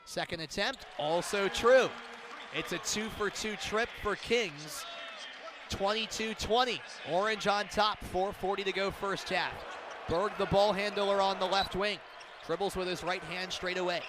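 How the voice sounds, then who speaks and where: High-pitched man calling live basketball. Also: a little slow. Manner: excitable, building to loud calls on big plays. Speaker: play-by-play radio announcer. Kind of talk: live basketball radio commentary. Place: courtside in a large indoor arena. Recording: crowd noise, bouncing ball in arena. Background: arena ambience, basketball bounces.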